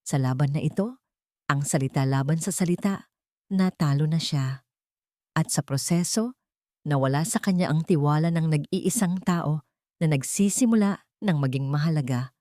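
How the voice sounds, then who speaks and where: A woman's voice: deep voice, moderate pace, dark tone, no room sound, clean studio sound. A woman narrating a scripted romantic drama in a solemn, dramatic storytelling manner.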